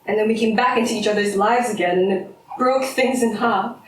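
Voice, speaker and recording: A woman acting a bitter, menacing academic wife in a stage drama, theatrical and sardonic, swinging into shouts. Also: medium pitch, a little fast, lightly acted. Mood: bitter, anguished. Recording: roomy, distant mic, faint noise.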